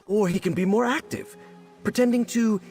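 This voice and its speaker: A man's voice: high-pitched, moderate pace, a little mellow, natural voice. An actor playing a flamboyant, earnest animal-act trainer in a video game, with a pompous, florid, showman's manner. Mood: showy, earnest. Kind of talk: theatrical video game dialogue. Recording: game voice under music.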